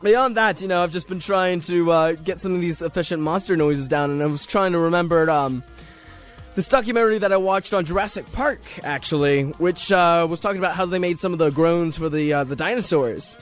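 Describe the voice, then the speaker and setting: High-voiced young man chatting on radio. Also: brisk. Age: young adult. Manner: rambling, self-deprecating, jokey, often chuckling. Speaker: radio show host. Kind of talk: casual solo radio chat. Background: music under the voice.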